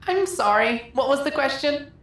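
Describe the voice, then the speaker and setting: A woman voicing a bashful, flustered young woman who speaks softly and politely in an audiobook drama. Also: sits high, animated, moderate pace, very echoey, pitched above the performer's own voice. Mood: polite, apologetic. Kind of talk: audiobook character acting.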